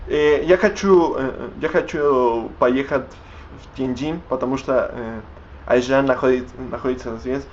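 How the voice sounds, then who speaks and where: Halting man, dull recording. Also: medium pitch, slight background noise. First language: Spanish. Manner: halting, many restarts and fillers while searching for words. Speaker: language learner vlogging. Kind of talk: unscripted vlog in a learned language.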